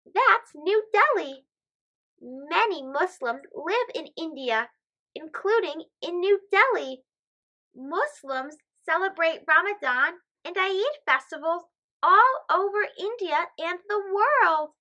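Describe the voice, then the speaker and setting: A woman with a high, bright voice reads a storybook aloud. Her delivery is careful and expressive, with lively pitch movement meant for young listeners. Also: slow, dull audio. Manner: careful, expressive, child-directed. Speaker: children's storybook reader.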